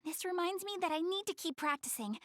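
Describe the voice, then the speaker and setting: Cute anime goddess girl, animated intonation, flustered, proud, video game dialogue, very dry close sound. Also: very high voice, brisk, dry recording. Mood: excited, gushing. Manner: bashful, defensive, stammering when embarrassed. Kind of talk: anime video game voice acting, addressing the player.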